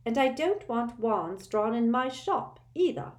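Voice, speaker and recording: A flustered older witch shopkeeper from a storybook, her voice climbing high and fluttery. She frets and pleads in a rush, as a woman reader acts her out. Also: very animated, measured pace.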